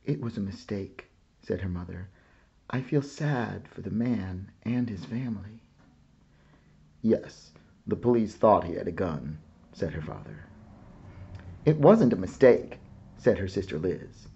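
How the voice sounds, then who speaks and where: A man reading a children's picture book aloud in a slow, gentle way, lifting his voice for the children's lines. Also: deep voice, sing-song, vocal fry, breathy edge, muffled recording.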